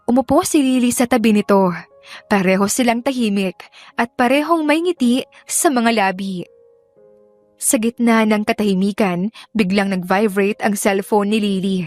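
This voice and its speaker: A woman's voice: medium pitch, moderate pace, darkish tone, dry recording, faint music behind. A woman narrator reading scripted romantic fiction as dramatic storytelling. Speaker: audiobook narrator.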